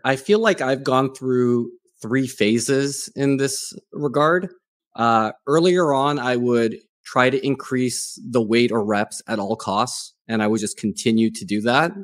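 A middle-aged man hosting a fitness interview in a casual, conversational way. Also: medium pitch. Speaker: interview host. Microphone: studio microphone on a video call.

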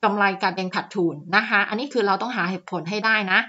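A woman's voice: medium pitch, fairly even intonation, quick pace, sharp tone, breathy edge, muffled recording. A woman walking through stock charts as a teacher, chatty, with lots of fillers and polite particles. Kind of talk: screen-share chart walkthrough. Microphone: voice-over on screen recording.

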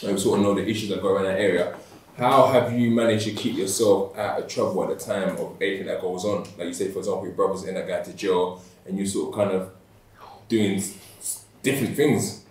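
A man's voice: low-pitched, steady tone, quick pace, distant mic, slight background noise. A man from South East London with a London accent, hosting a casual interview in a friendly, chatty way. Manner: chatty, friendly, repeats words. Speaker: interviewer and channel host. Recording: roomy echo, from off-mic.

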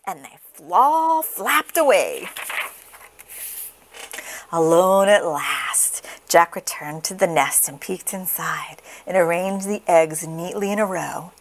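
A woman reading a children's story aloud with expressive, dramatic, deliberate phrasing. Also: lowish voice. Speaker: storybook reader.

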